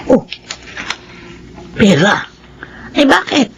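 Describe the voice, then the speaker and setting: A woman's voice: a little high, very animated, drawn-out words, a little hiss or hum, exaggerated voice. An actress playing a timid, self-effacing grandmother of seventy in a radio drama, speaking in a hesitant, fretful way. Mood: anxious. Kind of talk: emotional radio drama acting.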